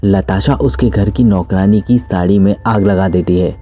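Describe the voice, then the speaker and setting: Horror story narrator, male: mellow, slightly low voice, scripted storytelling over background music. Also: moderate pace.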